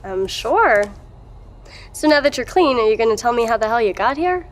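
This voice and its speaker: A woman acting as a skeptical, insistent character in an audio drama. Her voice is somewhat high, and she speaks bluntly, with a wry, conversational edge. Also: expressive, moderate pace, natural voice. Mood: suspicious, curious.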